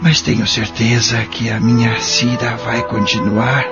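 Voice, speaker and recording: A man playing a gentle, repentant old man near the end of his life, speaking earnestly and formally with a Brazilian accent in an audio drama. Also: mid-range voice, little pitch movement, a little slow, close to an everyday voice. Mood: solemn. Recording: dull lo-fi audio with music behind.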